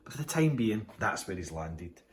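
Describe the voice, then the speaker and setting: Scottish male vlogger, very low voice, rambling casual delivery. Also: very animated, normal speed. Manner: chatty, rambling with fillers and restarts. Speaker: toy collector channel host. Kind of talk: casual unboxing vlog. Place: home room with display cabinets.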